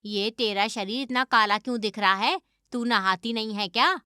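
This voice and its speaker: Sharp-voiced nagging mother-in-law. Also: on the high side, moderate pace, clean tone, no echo, clean studio sound. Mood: suspicious, scolding. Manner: nagging, accusatory. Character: scolding older mother-in-law, sharp and suspicious. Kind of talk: animated moral story dialogue.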